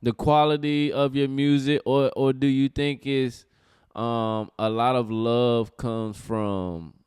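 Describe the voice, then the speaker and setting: Brief: male host, smooth clean voice, dry close sound, relaxed casual questions. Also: medium pitch, slow pace, dry recording. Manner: relaxed, hyped greetings, slangy questions. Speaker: podcast host. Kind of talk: casual interview chat.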